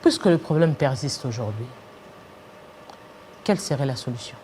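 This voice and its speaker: A woman's voice: a bass voice, animated, normal speed, dark tone, a little hiss or hum. A woman hosting a TV interview, assertive, pressing her guest and insisting on finishing her point. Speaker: TV talk show host.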